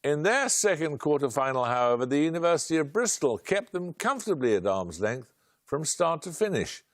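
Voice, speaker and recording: Older British male quiz host, dry wit, clipped, animated pitch. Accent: British English. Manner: brisk, dry and wry, clipped delivery. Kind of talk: studio quiz hosting, reading questions. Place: television studio.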